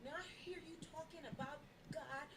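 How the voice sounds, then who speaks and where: A woman's voice: high voice, steady tone, moderate pace, recorded from a distance, heavy background noise. A woman acting out women in a domestic drama, with an intense, emotional, theatrical delivery. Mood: urgent pleading. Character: abused wife and her pleading woman best friend. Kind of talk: live dramatic performance.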